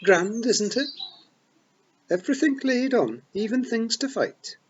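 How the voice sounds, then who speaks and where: A man voicing a boisterous tiny male pixie chief with a rough brogue, reading an audiobook. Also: very high-pitched, animated, unhurried, lo-fi sound, a little hiss or hum, pitched above the performer's own voice. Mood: hearty. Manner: loud, rough, gleeful brogue.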